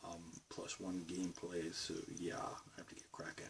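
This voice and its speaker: Deep-voiced Boston man, rambling. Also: monotone, moderate pace, recorded from a distance, noisy background. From Massachusetts, USA. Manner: rambling, meandering talk. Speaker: vlogger. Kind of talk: casual chat to camera. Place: his bedroom studio.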